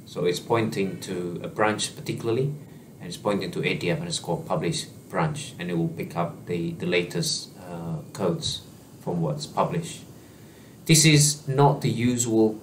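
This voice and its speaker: A man in his thirties giving a screen-share software walkthrough as a tutorial presenter, explaining casually with frequent fillers and restarts from a small room at his desk. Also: low voice, far from the mic, slight background noise.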